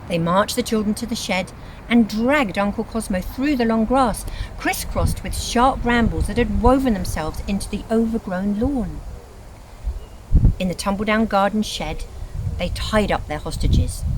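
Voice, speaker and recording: A woman reads scripted storybook narration in an expressive, dramatic storytelling manner. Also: medium pitch, background noise. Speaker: reader of a children's book.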